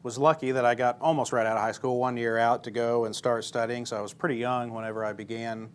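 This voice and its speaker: Relaxed, joking man in his forties. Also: medium pitch, fast, clear, resonant tone. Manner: relaxed, joking, many fillers. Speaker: interview guest. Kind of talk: casual interview answers.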